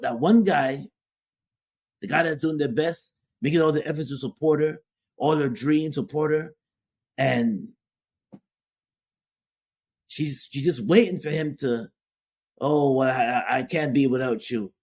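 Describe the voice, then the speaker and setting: A man in an easygoing podcast chat, his voice a little husky and breathy at mid pitch. He works through his points slowly and says the same phrase twice or three times to press it home. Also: darkish tone. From Guyana (family). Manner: repetitive, restarts and repeats phrases for emphasis. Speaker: podcast co-host. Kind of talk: casual opinion talk.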